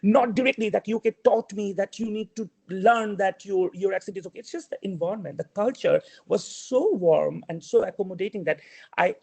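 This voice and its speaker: A man's voice: high voice, creaky, warm tone. A man from Bangladesh whose first language is not English, giving casual advice as a guest speaker in a webinar, with many fillers and restarts. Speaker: award-winning alumnus guest speaker.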